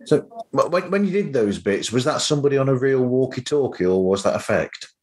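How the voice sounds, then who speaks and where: A man with a mid-range voice hosts a casual interview. He speaks haltingly, rewording his thoughts and questions as he goes, in an informal conversational style. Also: medium pitch, expressive, darkish tone. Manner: halting, rephrasing as they go. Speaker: podcast host, interviewer.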